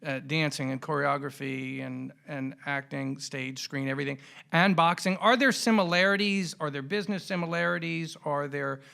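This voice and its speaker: Male interviewer, middle-aged, slightly high-pitched with strong gravel, conversational podcast questioning. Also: moderate pace, pushed and bright.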